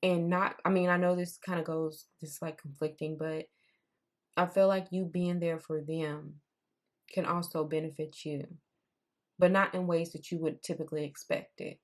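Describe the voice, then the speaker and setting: A young woman giving a casual tarot reading. She talks in a loose, repetitive way, and her voice has gone raspy. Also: deep voice, level tone, moderate pace. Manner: conversational, repeats herself, says "I'm seeing" often. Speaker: tarot reader.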